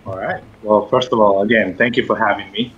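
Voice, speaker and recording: A man in his forties from Israel with an Israeli accent, a guest in a casual interview, explaining his work carefully with many pauses and fillers. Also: fairly low pitch, steady tone, a bit bright, highs cut off. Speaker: guest, fitness trainer and shiatsu practitioner.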